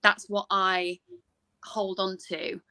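A woman's voice: medium pitch, little pitch movement, slow, pushed and bright, smooth. A young woman, an actress guest, talking earnestly about playing her role over a video call. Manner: thoughtful, earnest, some hesitant restarts. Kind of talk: casual interview chat.

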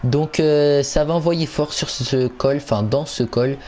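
Male gaming host. Relaxed, warm tone, stumbling casual play-by-play, light noise behind. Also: a little high, unhurried. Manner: hesitant, stumbling, repeats himself. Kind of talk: casual live game commentary.

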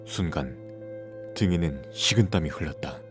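Male horror narrator, thirties widowed father, acted: troubled, low register, clear music bed underneath. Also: moderate pace. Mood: ominous, subdued. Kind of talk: scripted horror story narration with acted dialogue.

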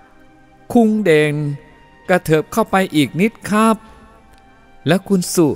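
A man doing an excitable young helper, high and loud. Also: slow pace, in a large hall, faint music behind. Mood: eager shouting. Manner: shouting, eager. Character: excitable young male helper acting as photographer.

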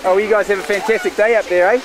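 A man's voice: sits high, fairly even intonation, fast, low-quality recording. A man with a New Zealand accent interviewing people outdoors at a ski field, chatty and joking. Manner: chatty, jokey. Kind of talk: on-location interview. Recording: outdoor noise behind the voice.

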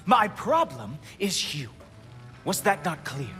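A man's voice: sits high, sing-song, slow pace, pushed and bright, soft background music, exaggerated voice. A man voicing a smug, mocking god in a video game, drawling sarcastic, theatrical taunts. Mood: sneering.

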